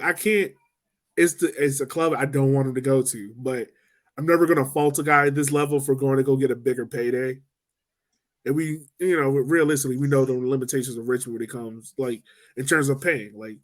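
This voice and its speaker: A man hosting a casual sports podcast, animated and laughing often, with quick asides. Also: mid-range voice. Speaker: podcast host.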